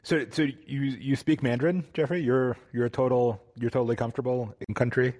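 A man's voice: mid-range voice, fairly even intonation, brisk, heavy background noise. A man hosting a podcast interview, asking questions in a casual, loosely phrased way with fillers. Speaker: podcast host.

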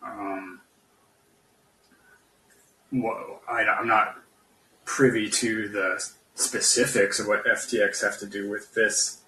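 Halting, slow-talking man in an echoey room. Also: lowish voice, little pitch movement, bright, forceful tone, a little hiss or hum. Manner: halting, hesitant, searching for words. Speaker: podcast guest. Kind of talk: conversational political discussion. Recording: roomy, echoey, distant from the mic.

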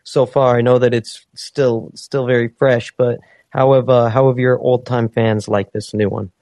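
A man's voice: mid-range voice, level tone, normal speed, a little mellow, dry recording. A man hosting a casual call-in interview, upbeat and encouraging toward his guest. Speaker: radio show host.

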